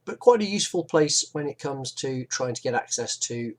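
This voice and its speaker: A man's voice: mid-range voice, normal speed. A man narrating a local history video in a chatty, informal way. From West Midlands, England. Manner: chatty, relaxed, with fillers and asides. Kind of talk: informal explanatory narration over maps.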